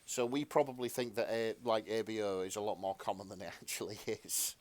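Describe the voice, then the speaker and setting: A man's voice: fairly low pitch, fairly even intonation, brisk. Rambling man in casual podcast chat. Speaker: podcast co-host.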